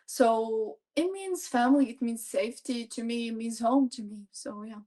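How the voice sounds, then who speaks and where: A young woman from Palestine, a podcast guest, chatting warmly with plenty of fillers. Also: a little high, normal speed. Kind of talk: casual interview chat.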